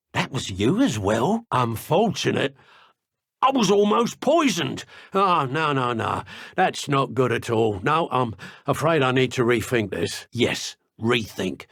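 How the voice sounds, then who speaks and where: Husky, grumbling wizard crook. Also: male voice, mid-range voice, dry recording, clean studio sound. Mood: disapproving. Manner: haughty, wry, argumentative. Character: grumbling, self-important British male dark wizard criminal. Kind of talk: video game character voice acting.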